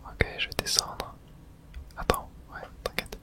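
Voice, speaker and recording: A woman whispering casual, slangy ASMR commentary as she plays a horror video game. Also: measured pace.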